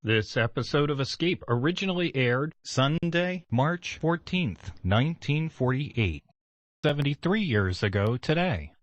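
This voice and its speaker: A male announcer reads a scripted broadcast introduction. He is formal and precise, at a middle pitch. The sound is dry, with no room on it. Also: expressive, unhurried, no echo, lo-fi sound. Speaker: radio announcer.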